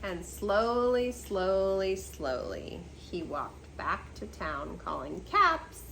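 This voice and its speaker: An older woman reading a picture book aloud to children as a storytime host, expressive, with casual asides to her listeners. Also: lowish voice, slow pace, gravelly, noisy background. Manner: expressive, asking the listener questions. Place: seated in a chair.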